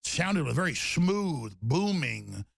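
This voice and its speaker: Gravelly, raspy man hosting talk radio. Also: very animated, takes it slowly, dry recording, studio quality. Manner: rambling, emphatic, swings between loud bursts and low mutters. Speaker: radio talk show host. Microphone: studio microphone.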